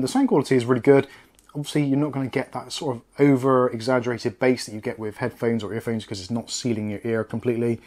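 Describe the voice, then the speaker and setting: Man, forties, English accent, medium pitch, conversational, matter-of-fact, casual review style. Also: a little mellow. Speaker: host of a product review channel. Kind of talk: casual product review to camera. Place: small home studio room.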